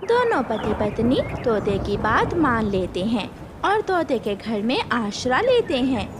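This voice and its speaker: Children's story narrator: woman, fairly high, very animated delivery. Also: a little slow, background noise.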